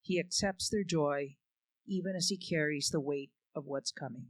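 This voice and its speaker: A man's voice: a little high, normal speed, no echo. A man giving an earnest, reflective scripted reading. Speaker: reader.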